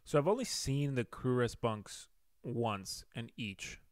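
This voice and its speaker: A man's voice: medium pitch, expressive, takes it slowly, no echo, studio quality. A young man originally from Venezuela, a pilot, chatting in an easygoing, hesitant way with many fillers in a couple's Q&A. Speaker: airline pilot, boyfriend guest. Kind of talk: casual couple Q&A chat.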